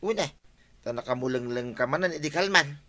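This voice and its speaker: An actor playing an excitable, jokey family man with no money in a Filipino comedy drama, with an Ilocano accent. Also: fairly high pitch, a little fast. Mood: curious, teasing. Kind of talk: broadcast comedy drama acting. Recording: music behind the voice.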